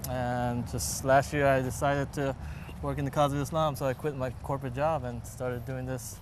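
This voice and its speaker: A man's voice: mid-range voice, level tone, normal speed. Man in his thirties, noisy street. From Texas, United States. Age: 30s. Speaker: interview guest, volunteer distributor. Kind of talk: casual interview answers. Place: outdoors in a residential neighborhood. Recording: clear outdoor street and traffic noise. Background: street ambience and vehicles.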